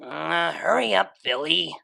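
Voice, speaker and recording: A man's voice: a little high, animated, takes it slowly, gravelly, pushed and bright, full character voice. A man voicing a young female unicorn DJ pony in a story, flustered, self-doubting and lovestruck, with hesitant, stammering delivery. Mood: nervous, self-mocking.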